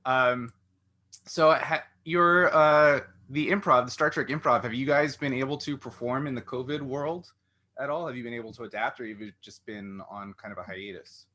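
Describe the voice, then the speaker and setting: A man's voice: mid-range voice, moderate pace, bright, forceful tone. A man hosting a casual interview, rambling and thinking aloud as he goes, often cutting into his own sentences. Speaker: interviewer, show host.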